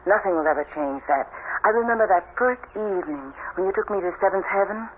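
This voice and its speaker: An actress playing a timid, troubled young new wife in a 1970s radio drama, speaking hesitantly and earnestly. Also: female voice, mid-range voice, animated, fast talker, phone-quality sound, natural voice. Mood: uneasy, reluctant. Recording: thin, noisy vintage radio recording.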